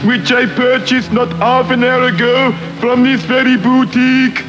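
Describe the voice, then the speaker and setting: A man playing a formal customer with an Austrian accent in a comedy sketch parody, slow and stiff. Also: very high-pitched, steady tone, lo-fi sound, music in the background. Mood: indignant. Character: pompous male customer with a fake Austrian accent.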